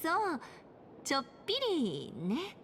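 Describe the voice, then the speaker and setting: A woman's voice: high-pitched, moderate pace, exaggerated voice. An actress playing a bubbly, theatrical young anime girl in a video game, telling stories playfully. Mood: storytelling. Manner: theatrical, expressive, cutesy. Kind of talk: Japanese anime game voice acting. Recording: game audio with music behind.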